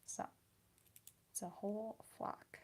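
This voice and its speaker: A young woman with a Midwestern accent chatting softly for an ASMR video, slipping between whispers and a quiet, giggly voice as she answers viewers. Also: slow. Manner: soft-spoken, drifting between whisper and quiet voice. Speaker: ASMR creator. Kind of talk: ASMR chat while crafting.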